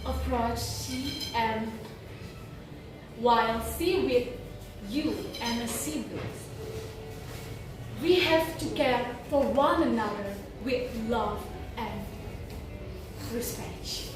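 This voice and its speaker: A woman narrates a scripted folk tale at a slow pace in a fairly high voice. She is heard far from the microphone in a very echoey room, with music behind her. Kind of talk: scripted storytelling narration for a stage play.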